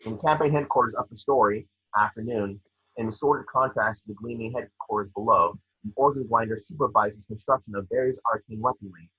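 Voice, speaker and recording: Husky man narrating screenplay action. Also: on the low side, fairly quick, pushed and bright, highs cut off. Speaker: narrator reading scene descriptions.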